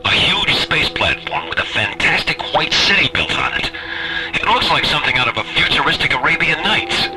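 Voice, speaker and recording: An actor playing a male space station officer in a radio drama, crisp and dramatic. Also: a little high, sing-song, normal speed, over music, rough recording. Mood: awed.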